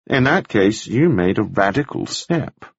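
Male narrator as a sly prosecutor, pointed questions, husky, lively pitch, muffled dry sound. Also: unhurried, dry recording, full character voice. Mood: probing.

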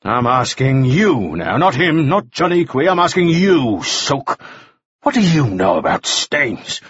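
A man's voice: medium pitch, sing-song, a little slow, a bit bright, breathy edge, dry recording, dull audio, pitched above the performer's own voice, full character voice. A man voicing a furious, bullying gold-rush magnate in an audiobook, snarling and sarcastic. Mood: angry, snarling. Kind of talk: audiobook character voice.

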